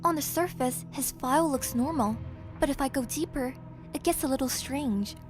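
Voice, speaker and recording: An earnest, headstrong young woman vigilante played by a voice actress in an audio crime drama. Her voice is distinctly high. Faint music sits behind her. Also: normal speed, natural voice. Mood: matter-of-fact.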